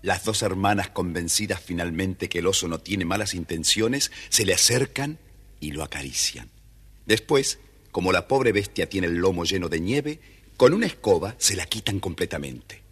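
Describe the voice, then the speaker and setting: Deep, expressive male storyteller. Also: normal speed, husky. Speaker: storybook narrator. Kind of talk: scripted children's story narration. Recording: faint noise, old children's story record.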